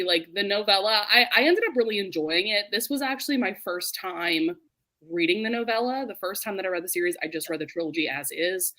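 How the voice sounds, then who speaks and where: Bright-voiced woman chatting casually. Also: mid-range voice, low-quality recording. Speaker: host of a book readalong live show. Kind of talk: casual book chat.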